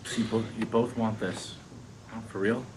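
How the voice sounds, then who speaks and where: An actor playing a goofy, warmhearted family man in his thirties, reading with an earnest, joking delivery at a table read. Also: on the low side, steady tone, normal speed, natural voice. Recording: noisy room ambience.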